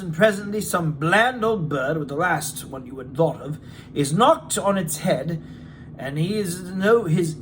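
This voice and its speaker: A man voicing a talkative, candid gentleman who tells an odd tale with emphatic, exclamatory delivery in a storybook reading. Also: high voice, slight background noise. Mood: animated, opinionated.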